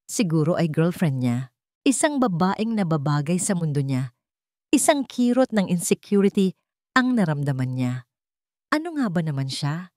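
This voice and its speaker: A woman's voice: lowish voice, very animated, moderate pace, darkish tone, dry recording, studio quality. A woman narrating a scripted romance story in a dramatic style, as a storyteller.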